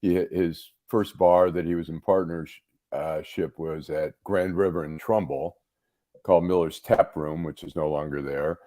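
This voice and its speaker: A man's voice: deep voice, steady tone, unhurried, dark tone, no room sound. A middle-aged man from the Detroit area, co-hosting a casual podcast and telling stories with lots of restarts. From Detroit area, Michigan. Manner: rambling, many restarts and fillers. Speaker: co-host.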